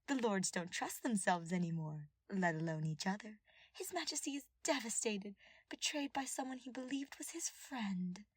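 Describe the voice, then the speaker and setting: A woman voicing a smug, mocking female royal advisor who gloats in a drawn-out, scheming way in an audiobook-style story. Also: mid-range voice, lively intonation, husky, no echo, pitched above the performer's own voice. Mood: gloating.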